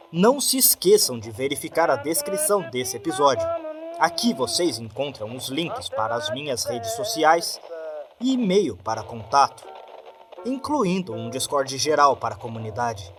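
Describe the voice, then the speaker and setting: A man's voice: mid-range voice, sing-song, normal speed. A man, a podcast host making a friendly, direct appeal to his listeners for support. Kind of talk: channel outro asking for support. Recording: music clearly under the voice.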